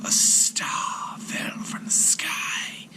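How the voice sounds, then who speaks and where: A man voicing a gigantic male serpent prince from a storybook in a hissing, breathy whisper, speaking slowly and solemnly. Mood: mournful. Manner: slow, solemn, drawn-out delivery. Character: gigantic ancient male serpent prince, menacing yet gentle. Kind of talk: storybook character voice.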